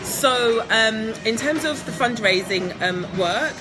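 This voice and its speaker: A woman's voice: a little high, expressive, slow pace, music in the background, low-quality recording. A woman from England, answering interview questions in a chatty, upbeat way, laughing often and filling with ums. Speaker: guest entrepreneur.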